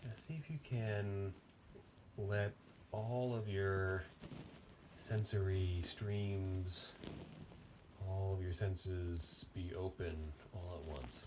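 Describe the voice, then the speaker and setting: Slow, low-voiced man guiding meditation. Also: steady tone, noisy background. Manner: gentle, unhurried, with long pauses. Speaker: guided meditation teacher. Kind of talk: guided body meditation, unscripted.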